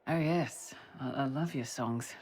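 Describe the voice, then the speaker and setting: Low husky young soldier. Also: female voice, measured pace, darkish tone, exaggerated voice. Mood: bashful. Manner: earnest, casual. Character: good-natured young soldier, earnest and sheepish. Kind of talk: video game voice acting.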